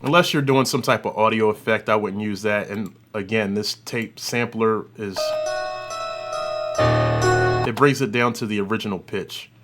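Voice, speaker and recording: Guy with a lowish voice walking thru audio software, off the cuff, kinda thinking out loud. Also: normal speed, music in the background. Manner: unscripted, thinking aloud while working. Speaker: host of an audio software tutorial. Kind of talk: informal demonstration and review commentary.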